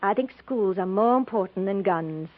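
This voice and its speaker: An American actress playing a chatty, easily riled young schoolteacher bride with folksy, earnest speech in a 1950s radio drama. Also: female voice, medium pitch, expressive, moderate pace, close to an everyday voice. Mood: puzzled, earnest. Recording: tinny, narrow-band 1950s radio recording.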